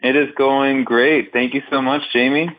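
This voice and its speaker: A man's voice: medium pitch, flat delivery, moderate pace. A man in his thirties from Northeast Ohio, a guest drummer chatting upbeat and joking in an interview. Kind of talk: casual interview chat. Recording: slightly dull remote audio.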